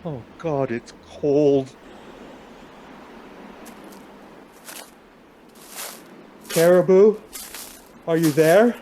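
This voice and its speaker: Radio play husband, played by a man: lightish pitch, slow and halting, stubborn and troubled. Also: mellow, slight background noise. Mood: uneasy, pleading. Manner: halting, muttering to himself. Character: stubborn, guilt-ridden husband trudging alone through a snowstorm.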